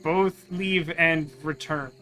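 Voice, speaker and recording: A man's voice: a little high, fairly even intonation, slow pace, bright, forceful tone, faint music behind. A man doing casual let's play commentary, musing and thinking aloud as he works through puzzles. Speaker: gaming video host.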